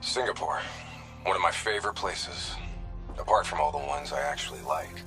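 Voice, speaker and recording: An actor playing a grumpy, sardonic veteran soldier who trusts no one, in a video game cutscene. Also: male voice, very deep voice, normal speed, over music, poor audio. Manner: dry, sardonic.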